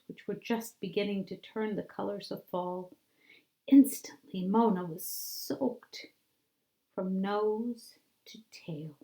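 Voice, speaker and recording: Slow-paced woman reading a children's storybook. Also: mid-range voice, dark tone, poor audio. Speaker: teacher reading aloud to children. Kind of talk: expressive children's storybook read-aloud.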